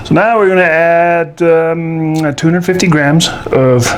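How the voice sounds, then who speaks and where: A man's voice: fairly high pitch, fairly even intonation, measured pace, slight background noise. A man hosting a casual cooking demonstration at home, talking in a folksy way with joking asides. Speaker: show host. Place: indoors at home kitchen.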